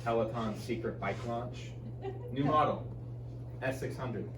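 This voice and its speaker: A man's voice: medium pitch, a little slow, noisy background, natural voice. A man playing a huffy, self-important divorced juice executive in a staged musical comedy, terse and sarcastic. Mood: huffy, baffled. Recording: roomy distant stage recording, loud piano.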